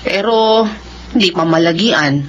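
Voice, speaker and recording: A woman's voice: lowish voice, measured pace, muffled recording, a little hiss or hum, natural voice. A woman playing a devoted working mother in a radio-style family drama, speaking expressively.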